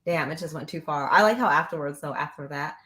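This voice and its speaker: A woman's voice: low-pitched, fast talker, a loud crowd. A young adult woman chatting casually and loosely, with some slang, on a video call from a home room. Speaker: book club guest. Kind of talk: casual chat.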